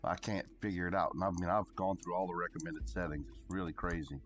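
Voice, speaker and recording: A man with a deep voice, casually chatting to viewers as a livestreamer in an easygoing, rambling way. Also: fairly even intonation, quick pace, lo-fi sound. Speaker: game livestreamer. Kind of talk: casual chat with stream viewers. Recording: music behind. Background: clear background music.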